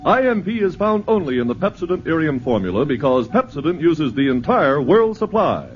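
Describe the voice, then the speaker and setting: A man reading a 1950s radio toothpaste commercial as an emphatic pitchman. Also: very animated, brisk, a little hiss or hum. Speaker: announcer. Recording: dull old radio broadcast.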